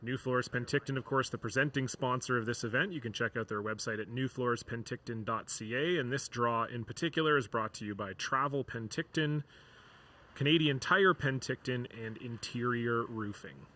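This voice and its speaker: Male sports commentator, bright and forward tone, easygoing chat, faint arena hum. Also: mid-range voice, moderate pace. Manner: relaxed, chatty, reads sponsor lists. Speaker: curling commentator.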